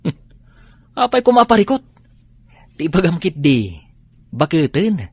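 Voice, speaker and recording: A man's voice: a little high, big pitch swings, measured pace, darkish tone, husky, dry recording, full character voice. An actor playing a nosy young buddy in a radio drama, teasing and cautioning his friend. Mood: teasing warning. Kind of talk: Ilocano radio drama acting.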